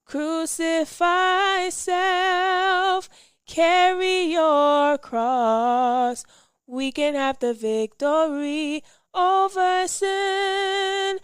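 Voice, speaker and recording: A woman singing a devotional gospel song in drawn-out phrases. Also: extremely high pitch, fairly even intonation, no room sound, studio quality, soft background music. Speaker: singer. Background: musical accompaniment.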